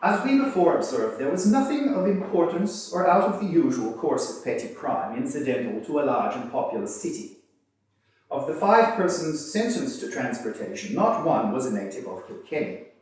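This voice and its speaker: A man's voice: a little high, very animated, moderate pace, a little mellow. A man voicing a formal nineteenth-century newspaper court reporter, stiff and declamatory. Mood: formal, proclaiming. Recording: distant, very echoey room.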